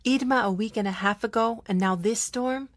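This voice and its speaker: A woman's voice: fairly high pitch, normal speed, no room sound, close to an everyday voice. A woman playing a sassy, joking schoolgirl friend in a casual youth audio drama. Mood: teasing.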